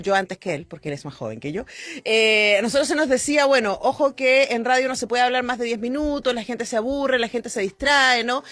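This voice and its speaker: A woman's voice: fairly high pitch, dry recording. Chilean woman hosting radio, close dry sound. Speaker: radio host and interviewer. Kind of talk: live radio interview. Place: radio broadcast.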